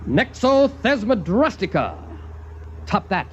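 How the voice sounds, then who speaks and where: A man's voice: high-pitched, sing-song, slow, slight background noise, heavily acted. A man acting an unhinged, sardonic, murderous character with melodramatic delivery in a 1950s radio drama. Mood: playful, manic. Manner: melodramatic, wry, swinging from mutter to outburst. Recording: muffled 1950s radio recording.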